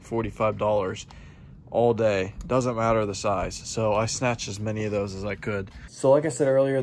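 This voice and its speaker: A man's voice: on the low side, darkish tone. A young man chatting informally and in slangy terms, like a vlogger, recorded on a camera at home. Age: young adult. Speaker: online reseller and vlogger. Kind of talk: casual vlog chat. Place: indoors at home, small room. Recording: clearly noisy home recording.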